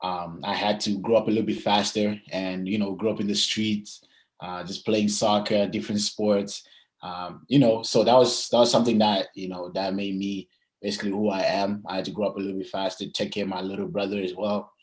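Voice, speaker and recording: Low-voiced young man. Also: little pitch movement. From Zimbabwe and South Africa. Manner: hesitant, frequent pauses and "you know". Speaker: athlete being interviewed. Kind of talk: casual interview chat.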